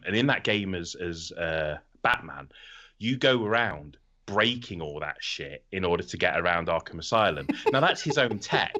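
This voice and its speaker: Bright, low-voiced man chatting and ranting. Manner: swears freely, animated rants. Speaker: podcast co-host. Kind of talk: casual comedic podcast chat.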